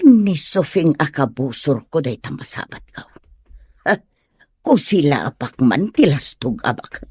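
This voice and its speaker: A woman's voice: sits low, big pitch swings, unhurried, darkish tone, breathy edge, no room sound, studio quality, exaggerated voice. A woman playing a quarrelsome, boastful, stubborn elderly mother in a comedic audio drama, with scornful, taunting retorts. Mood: combative, taunting. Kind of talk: comedic Ilocano radio-style drama acting.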